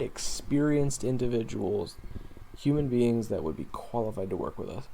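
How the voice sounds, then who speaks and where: A man playing a seasoned monster hunter and blunt mentor to a rookie in an audio roleplay, speaking conversationally with halting, drawn-out pauses. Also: medium pitch, dark tone. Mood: exasperated, blunt. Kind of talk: audio roleplay acting addressed to the listener.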